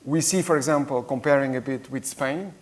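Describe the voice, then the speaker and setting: A man speaking carefully as a conference panelist, pausing and restarting often. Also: mid-range voice. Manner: hesitant, careful, many restarts.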